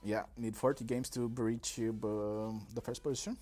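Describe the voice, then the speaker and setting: A man from Romania, streaming a mobile game in casual, halting commentary full of pauses and fillers, on a desk microphone. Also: medium pitch, fairly even intonation, darkish tone, faint music behind.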